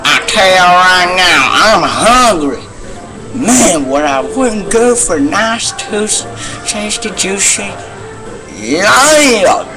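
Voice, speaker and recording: Guy doing a sly hungry cartoon wolf, drawly n slow, kinda high, homemade dub. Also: animated, over music. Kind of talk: amateur cartoon fandub.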